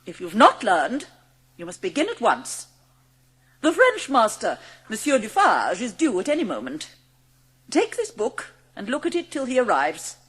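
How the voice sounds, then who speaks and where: Snobbish headmistress, high husky voice. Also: female voice, huge pitch swings, unhurried, fairly bright tone, full character voice. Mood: stern, scolding. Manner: formal, bossy. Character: stern, gushing, snobbish headmistress of a girls' school. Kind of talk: dramatised audiobook acting.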